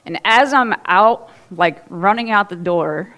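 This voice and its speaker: A woman's voice: mid-range voice, slow. Woman, pastor, sermon, chatty storytelling, church stage, headset mic. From Ohio. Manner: chatty, animated storytelling with laughs and asides.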